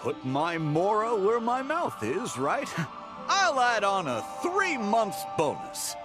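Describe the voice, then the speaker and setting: Wildly expressive, high-pitched demanding boss. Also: male voice, slow, full character voice. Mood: flustered, placating. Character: demanding, patronizing male company boss who turns flustered. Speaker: boss in a game story. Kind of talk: animated video game voice acting. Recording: music playing behind the voice.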